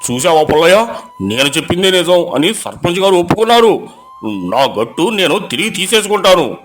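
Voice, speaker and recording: A man voicing an indignant farmer who complains loudly and insistently. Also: high voice, sing-song, brisk, over music. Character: angry farmer accusing neighbour, loud and indignant.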